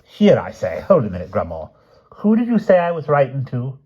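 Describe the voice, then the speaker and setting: A man's voice: medium pitch, wildly swooping pitch, fairly quick, husky, dull audio, pitched above the performer's own voice. A man voicing a dithering, lovesick old king who is fretful and self-pitying, with flustered, whining speech. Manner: flustered, whining, trailing "what?".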